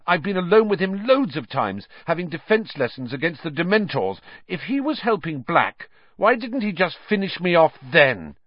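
A man's voice: medium pitch, a little slow, hardly any pauses, no echo, lo-fi sound, pitched above the performer's own voice, natural voice. A man voicing a hot-headed, defiant teenage schoolboy in an audiobook, indignant and argumentative.

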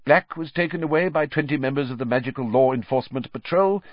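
A man's voice: mid-range voice, fast, dry recording, dull audio, pitched above the performer's own voice, close to an everyday voice. A man reading an audiobook and voicing a fussy, self-important middle-aged wizard minister who talks in a pompous, rambling way. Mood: evasive. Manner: pompous, anecdotal, then evasive.

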